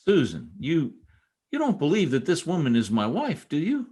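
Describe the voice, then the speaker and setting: A man acting an exasperated newspaper reporter in an old-time radio comedy. His husky voice swings widely in pitch as he tosses out slangy wisecracks. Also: huge pitch swings, normal speed, warm tone, heavily acted. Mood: indignant, flustered.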